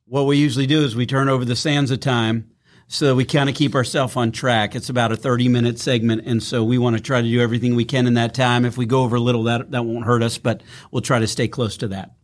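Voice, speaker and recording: A man's voice: medium pitch, flat delivery, fast talker. Male pastor host, casual interview manner, mid-range voice. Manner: friendly, informal, loose phrasing. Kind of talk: relaxed interview chat. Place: church podcast studio.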